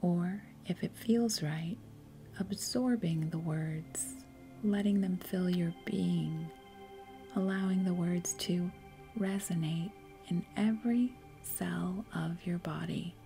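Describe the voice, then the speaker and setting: Woman doing guided meditation, really slow n mellow, soft breathy voice, faint music behind. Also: fairly low pitch. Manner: gentle, soothing, unhurried pauses.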